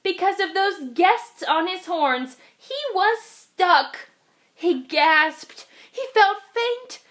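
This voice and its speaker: Female storybook reader, high voice, very animated and dramatic, slow deliberate delivery for young children. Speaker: children's read-aloud reader. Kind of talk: expressive storybook read-aloud.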